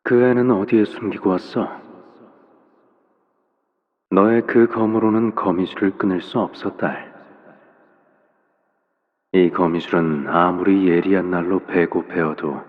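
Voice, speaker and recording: An actor playing a soft-spoken, controlling father in a video game, speaking in a gentle, deliberate, coaxing way with quiet menace. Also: low-pitched, steady tone, lo-fi sound. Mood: quietly menacing. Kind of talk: scripted game voice acting.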